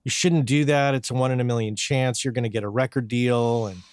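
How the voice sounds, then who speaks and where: A man from southern New Mexico hosts a podcast interview at a medium pitch. His voice is recorded dry with no room sound, and he talks in a friendly, loose way, often restarting his phrases. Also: steady tone, brisk, clear, resonant tone, no echo. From southern New Mexico, USA. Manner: friendly, repeats words as he talks. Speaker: podcast host. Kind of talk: casual interview chat.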